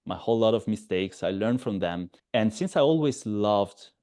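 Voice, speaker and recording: A man's voice: lowish voice, expressive, normal speed, mellow. A man in his thirties from Sardinia with an Italian accent, a guest on an investing interview, speaking with frequent restarts and easy laughs. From Italy, Sardinia. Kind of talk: podcast interview.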